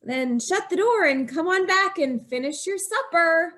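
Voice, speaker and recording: A woman's voice: very high voice, lively intonation, unhurried, a bit bright, full character voice. A woman acting a distraught, secretive wife in an old-time radio horror play, telling her tale with dramatic, emphatic repetition. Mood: curt, evasive.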